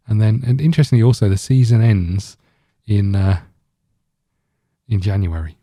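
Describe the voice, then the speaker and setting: Mellow, low-voiced man doing game commentary. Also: dry recording, clean studio sound. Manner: rambling, thinking aloud. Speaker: gaming video host. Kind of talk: casual let's-play commentary.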